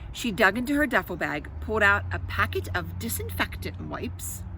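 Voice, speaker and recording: Woman, bright clear-cut storytelling for kids, chatty explanatory asides, slightly noisy home-style recording. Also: mid-range voice, moderate pace. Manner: expressive, explanatory, addresses children directly. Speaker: read-aloud host for children. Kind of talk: storybook read-aloud with asides to young listeners.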